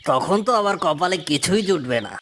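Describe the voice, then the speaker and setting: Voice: medium pitch, expressive, brisk, a bit bright, slight background noise, lightly acted. An actor voicing a greedy, mocking fox villain in a cartoon, with a taunting, bullying manner. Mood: scheming. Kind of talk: cartoon character dialogue.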